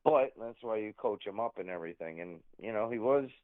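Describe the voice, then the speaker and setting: Long Island man calling in over a tinny phone line, low voice, dry, rambling and halting. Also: expressive, dry recording. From Long Island, New York. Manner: rambling, halting, heavy on fillers. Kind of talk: casual sports talk.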